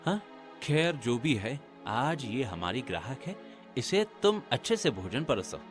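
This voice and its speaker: A man voicing an earnest, grave village man in a cartoon, formal and expressive. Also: mid-range voice, unhurried, faint music behind. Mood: puzzled.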